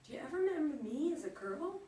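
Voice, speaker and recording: An actress reads as a devoted grown daughter, weary and wry, in a natural conversational way. The sound is roomy and distant, with a little background noise. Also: female voice, sits high, big pitch swings, fast, mellow. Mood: surprised, questioning. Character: weary, devoted adult daughter caring for her mother. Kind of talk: staged play reading. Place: echoey room at a live play reading. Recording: distant, echoey room with faint noise.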